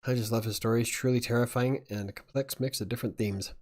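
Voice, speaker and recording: A man's voice: medium pitch, fairly even intonation, fast talker, darkish tone. A man hosting a casual live chat, rambling and chuckling as he talks. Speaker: livestream host.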